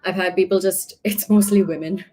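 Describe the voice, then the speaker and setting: A woman's voice: lowish voice, steady tone, normal speed, mellow. Reflective woman from Pakistan, chatty. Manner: reflective, talkative, many "like" fillers. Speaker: art professor guest. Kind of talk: casual interview answers.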